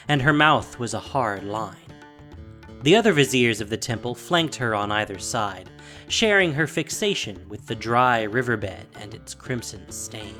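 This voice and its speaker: A woman narrating a scripted fantasy story in a theatrical, measured, dramatic style. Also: a bass voice, fairly bright tone.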